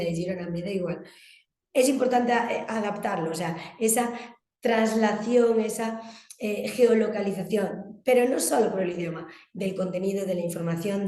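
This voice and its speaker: A woman's voice: mid-range voice, warm tone, echoey room. A woman from Galicia with a Spanish accent from Spain, giving explanatory, emphatic interview answers and often rephrasing as she goes. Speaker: interviewed guest, communications agency director.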